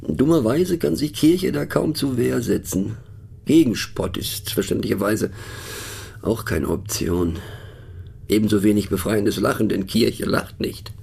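A man with a low, gravelly, husky voice delivers a satirical radio monologue. He muses wryly and conversationally, pausing and stressing words as he goes, with light music underneath. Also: a little mellow. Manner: wry, pensive, mocking, halting emphatic delivery. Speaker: narrator of a satirical radio play. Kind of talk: scripted monologue performed as musing conversational talk.

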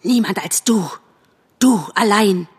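A wounded male fox from a storybook, acted out by a woman. The voice is husky and drags slowly, with the proud, desperate bitterness of a dying animal, imploring or full of contempt. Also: mid-range voice. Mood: hissing contempt. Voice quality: hushed, husky, growling when angered. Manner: weak, slow, imploring or hissing with scorn.

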